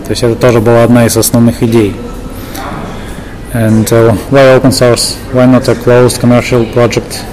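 A man interviewing for a podcast, asking unscripted questions in a hesitant way, breaking his phrases with pauses. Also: completely flat delivery. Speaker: podcast interviewer, tech lead. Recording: noisy venue, loud background rumble.

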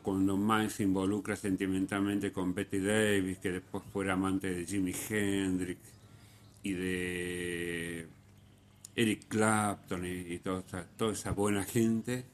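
A radio host spins music anecdotes at a slow, unhurried pace. His voice sits fairly low, and his telling is full of pauses and restarts. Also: steady tone. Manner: unhurried storyteller, pauses and restarts, swings in loudness.

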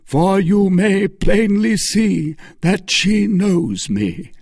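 A man voicing a grim, icy executioner who speaks slowly and coldly, with a hollow voice. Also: high-pitched, sing-song, gravelly, a little mellow, breathy edge, no room sound, studio quality, voice pushed up, exaggerated voice. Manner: slow, cold, commanding.

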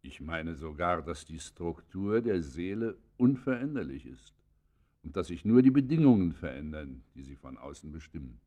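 Aged judge: low register, grave and measured, thoughtful, humane, literary radio drama. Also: male voice, sing-song, dark tone. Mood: reflective. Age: older. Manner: measured, grave, formal, philosophizing. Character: venerable old male judge, thoughtful, humane, philosophical. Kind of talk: classic German radio play acting, literary and declaimed.

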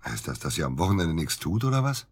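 Radio drama evasive male doctor under suspicion: deep, husky, hesitant, very dry recording. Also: very animated, dry recording, heavily acted. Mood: defensive, agitated. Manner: halting, hesitant, defensive. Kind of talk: naturalistic German radio drama acting.

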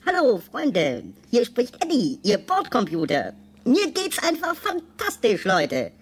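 Chirpy spaceship computer: high-pitched, animated, over-friendly, gushing, radio comedy drama. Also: female voice, measured pace, full character voice. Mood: gleefully chirpy. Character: relentlessly chirpy, chummy shipboard computer. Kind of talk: radio play dialogue.

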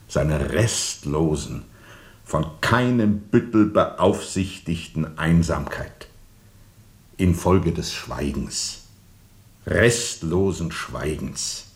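Very deep-voiced, haunted river captain. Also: male voice, very slow, full character voice. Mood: bitter, intense. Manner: brooding, insistent, dramatic repetition. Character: haunted male river steamer captain recounting a dark journey. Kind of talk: radio play monologue.

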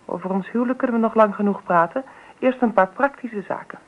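A woman's voice: a little high, fast, narrow, tinny audio, natural voice. A woman acting a composed, assertive wife and mother in a Dutch radio drama, speaking firmly and directly in a matter-of-fact way. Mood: cool, firm.

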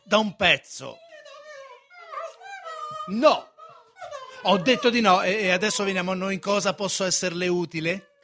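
An actor in a radio drama playing a garrulous, sly, self-important court painter, speaking in a theatrical, emphatic and insinuating way. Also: male voice, high voice, a little slow, pauses often, pushed and bright, a little hiss or hum, full character voice. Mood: busy, expansive.

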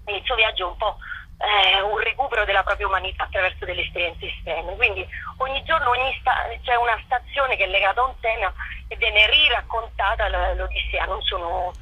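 Woman on a phone line, bright husky voice. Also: medium pitch, brisk, no echo. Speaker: interview guest, theatre company leader. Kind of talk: conversational phone interview. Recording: telephone line on radio.

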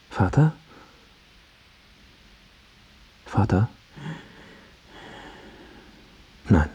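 An actor playing an ailing, self-pitying failed pop singer who pleads and justifies himself in a radio drama. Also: male voice, on the low side, a little mellow, slightly rough, heavily acted. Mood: forlorn.

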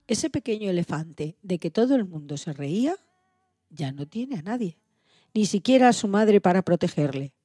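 Storyteller, woman. Low, slightly husky voice for scripted children's tales, recorded dry. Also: dark tone, no room sound. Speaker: radio show storyteller.

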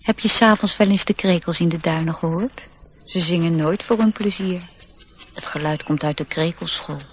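A woman acting a proud, youthful male nature sprite, self-assured and gently instructive, in a radio play. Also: on the low side, normal speed, slight background noise, lightly acted. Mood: guiding.

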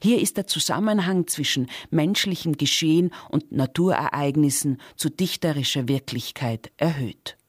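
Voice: mid-range voice, moderate pace, hardly any pauses. Radio presenter, middle register, scripted literary introduction, close and free of room echo. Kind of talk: scripted narration.

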